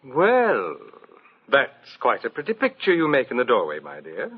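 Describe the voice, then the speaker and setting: An actor playing a vain, condescending middle-aged Broadway star in a 1940s radio drama, suave and mocking, on a muffled old recording. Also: male voice, mid-range voice, wildly swooping pitch, a little slow, breathy edge, full character voice. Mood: mocking, smooth.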